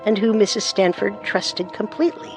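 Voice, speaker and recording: A woman's voice: mid-range voice, steady tone, moderate pace. A woman co-narrates a true-crime story in a scripted, suspenseful way, with a mid-range voice. Faint music plays beneath her narration.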